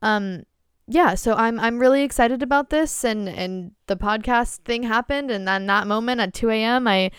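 An excitable young woman in her twenties talks through her solo podcast, candid and quick to swear. Her voice is smooth and clear, recorded dry and close with no room around it. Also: a little high, no room sound. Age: 20s. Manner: excitable, candid, swears casually, frequent laughs and fillers. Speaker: podcast host. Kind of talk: casual solo chat.

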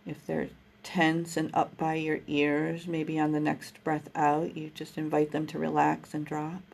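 A woman guiding a breathing meditation, speaking in a slow, soothing, gentle way. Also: low voice, completely flat delivery, vocal fry. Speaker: meditation guide.

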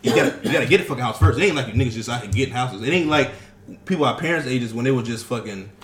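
A man's voice: medium pitch, animated, rapid-fire, a little hiss or hum. A man in his twenties, ranting profanely and animatedly in a casual chat among friends. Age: 20s. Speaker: podcast co-host. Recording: echoey room.

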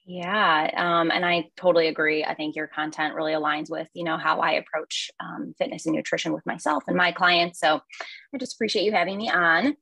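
A young woman talks as a podcast guest, friendly and thoughtful, pausing on fillers. Her voice sits a bit low for a woman. The sound is dry and close. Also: steady tone, creaky, sharp tone, no echo. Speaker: podcast guest, nutrition coach. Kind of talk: casual podcast interview.

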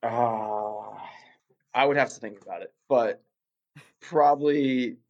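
A man's voice: medium pitch, slow, highs cut off. A young man from Russia, a guest chatting casually in an interview, rambling with lots of fillers and restarts.

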